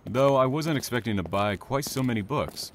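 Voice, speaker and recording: An actor playing a calm, courteous, quietly intense young man in an audio drama, speaking in a measured, understated way. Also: medium pitch, slight background noise, lightly acted. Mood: friendly, easygoing. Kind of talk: naturalistic audio drama acting.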